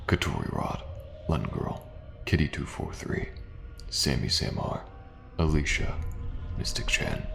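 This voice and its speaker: Male creator reading end credits: very deep, heavily creaky, scripted delivery. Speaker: audio drama creator.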